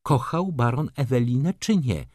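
A man voicing an imperious, flirtatious society lady from a novel, commanding and theatrical, quick to scornful indignation. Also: mid-range voice, animated, darkish tone, no room sound, clean studio sound, pitched above the performer's own voice, close to an everyday voice. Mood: indignant, scornful.

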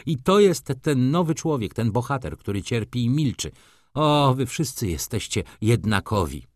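Guy doing a bossy flirty high society lady for an audiobook, raised pitch, really swoopy delivery. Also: lively intonation, measured pace, darkish tone, no echo, studio quality, natural voice. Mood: indignant, scornful. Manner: commanding, theatrical, exclamatory.

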